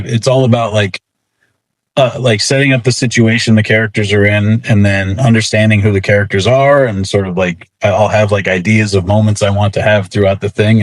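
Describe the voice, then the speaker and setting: A man talking casually as an interviewed writer, rambling with lots of filler words and a wry, self-deprecating manner. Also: lowish voice. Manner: rambling, frequent "like" and "you know". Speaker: comic book writer being interviewed.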